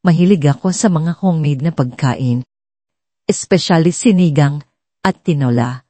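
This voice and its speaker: Woman voicing a frank, polite young Filipina secretary, mellow. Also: sits low, moderate pace, dry recording. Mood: candid, modest. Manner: respectful, candid. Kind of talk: storybook drama dialogue.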